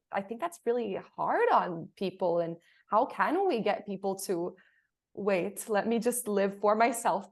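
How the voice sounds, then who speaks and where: A woman's voice: medium pitch, creaky. A woman speaking conversationally on a panel in a reflective, empathetic manner. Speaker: theater artist on a panel.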